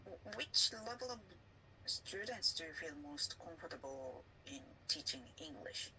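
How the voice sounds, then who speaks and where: Woman interviewer, low-ish bright voice, swooping intonation, halting questions, faint background noise. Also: lively intonation, rough recording. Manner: halting, searching for words. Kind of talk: conducting a job interview.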